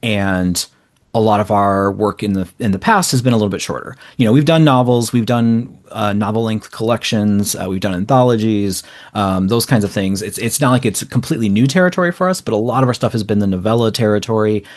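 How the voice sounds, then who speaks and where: A man's voice: fairly low pitch, brisk. A man giving casual, explanatory interview answers in long, rambling, informal runs as a guest. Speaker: interview guest, small indie publisher.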